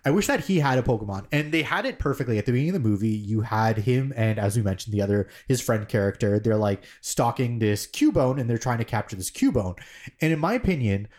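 A young man co-hosting a podcast in loose, rambling chat, full of filler words. His voice sits at an ordinary middle pitch for a man. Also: animated, quick pace, a little mellow. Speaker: podcast co-host. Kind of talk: casual chat.

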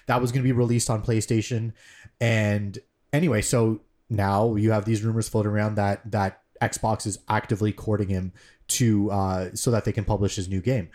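Male podcast co-host, slightly low voice, loose and digressive, heavy on "like" and "um". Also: darkish tone. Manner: rambling, filler-heavy explanations. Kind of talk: casual chat.

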